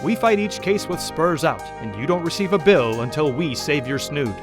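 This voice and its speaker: Earnest male pitchman over music. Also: lively intonation, normal speed. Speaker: ad announcer. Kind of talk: parody lawyer commercial.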